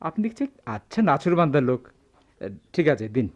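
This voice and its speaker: A man's voice: on the high side, very animated, brisk, highs cut off. A man with a Bangladeshi accent acting in a radio drama as a busy, grudging goldsmith shop owner, hesitant and impatient.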